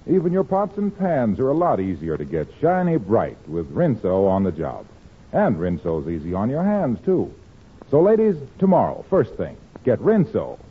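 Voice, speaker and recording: A man's voice: fairly low pitch, wildly swooping pitch, normal speed, slightly rough. A male announcer reading 1940s radio ads and scripted introductions in a polished, emphatic salesman's delivery. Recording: muffled scratchy old-time radio recording.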